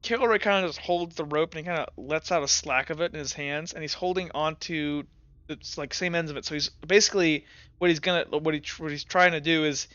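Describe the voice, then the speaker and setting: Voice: medium pitch, fairly quick, fairly bright tone, no echo. A game master narrating a tabletop roleplay session, describing scenes in long, trailing sentences full of fillers. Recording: dull lo-fi recording.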